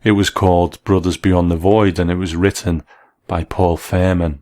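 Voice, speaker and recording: A man's voice: low-pitched, flat delivery, normal speed, darkish tone. A man hosting a scripted video essay, reviewing in an enthusiastic, explanatory manner. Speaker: host and reviewer.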